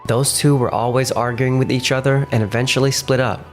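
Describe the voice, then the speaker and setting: A man voicing a calm, businesslike young male realty manager in a fan manga dub, who also narrates, measured and firm. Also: mid-range voice, natural voice. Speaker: narrator and lead voice actor. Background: faint music.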